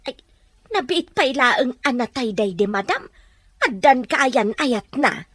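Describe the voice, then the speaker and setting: A woman's voice: high voice, animated, a little slow, no room sound. A woman playing a concerned, indignant older household helper in an Ilocano radio drama. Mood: curious, disapproving.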